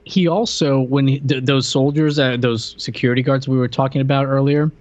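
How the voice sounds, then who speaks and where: A man's voice: mid-range voice, steady tone, fairly quick, a little mellow, no room sound. Incredulous male podcaster, slightly dull audio. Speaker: podcast co-host. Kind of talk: casual film-review chat.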